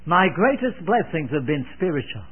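Elderly preacher, high-pitched and sweeping. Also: male voice, very animated, moderate pace, slightly rough. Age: 80s. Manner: earnest, emphatic, repeating phrases for weight; solemn, old-fashioned devotional phrasing. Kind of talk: sermon, expounding scripture. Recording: narrow, phone-like old sermon recording.

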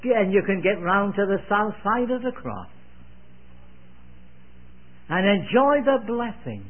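A man's voice: high-pitched, big pitch swings, slow pace. A man in his eighties preaching a sermon, earnest and emphatic, repeating phrases with solemn, old-fashioned devotional wording. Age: 80s. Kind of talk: sermon, expounding scripture. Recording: narrow, phone-like old sermon recording.

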